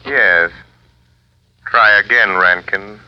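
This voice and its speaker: A man acting an invisible, mocking avenger with coolly menacing, deliberate taunts in a 1930s radio drama. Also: on the low side. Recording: dull old 1930s radio recording.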